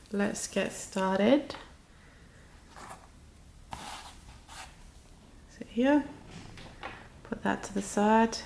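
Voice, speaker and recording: Snuffly, creaky-voiced woman teaching crochet. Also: medium pitch, a little mellow, a little hiss or hum. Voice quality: snuffly, slightly stuffed-up nose. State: has a cold. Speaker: crochet tutorial host. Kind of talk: casual step-by-step craft tutorial.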